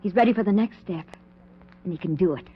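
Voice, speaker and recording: An actress playing a scientist's devoted, zealous wife in a 1940s radio drama, ardent and persuasive, with proper diction. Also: female voice, fairly low pitch, quick pace, a little hiss or hum, natural voice. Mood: fervent. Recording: dull 1940s radio recording.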